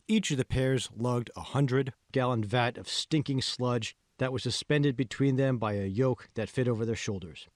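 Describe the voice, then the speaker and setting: A man's voice: medium pitch, dry recording, clean studio sound. A man reading scripted fiction as the narrator of an audiobook. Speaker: author reading own audiobook.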